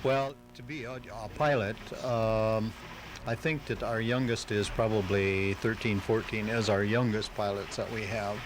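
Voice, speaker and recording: Low-voiced hesitant man on noisy radio tape. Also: fairly even intonation. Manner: hesitant, halting, explanatory. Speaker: club member being interviewed. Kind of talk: unscripted live radio interview. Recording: noisy home-taped live radio.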